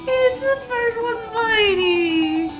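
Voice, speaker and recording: A woman reacting casually to a game as a streamer, excitable and blunt, exclaiming often. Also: very high voice, drawn-out words. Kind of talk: casual gameplay reaction commentary. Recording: loud game music under the voice.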